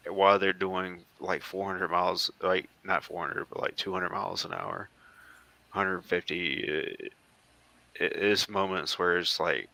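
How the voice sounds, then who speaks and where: American man, casual rambling talk, gravelly fry, bright forceful tone, unhurried and slow, halting delivery. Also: sits low, no room sound. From southeastern United States. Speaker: guest, former Marine air traffic controller. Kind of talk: casual chat, talking through old photos.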